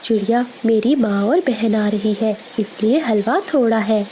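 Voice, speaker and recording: A woman plays a generous farmer's wife in a cartoon tale. Her voice is fairly high and mellow, gentle and obliging, with faint noise. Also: unhurried, lightly acted. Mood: kindly.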